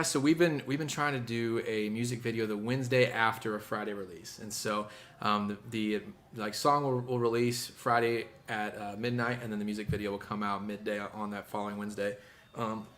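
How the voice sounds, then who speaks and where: A young man from Indiana talks loosely about his music in a relaxed interview, with a bright, forceful tone. He backs up, restarts and fills gaps with "um" as he thinks aloud. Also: on the low side, a little hiss or hum. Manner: easygoing, many fillers and restarts. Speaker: musician guest. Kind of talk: casual interview chat.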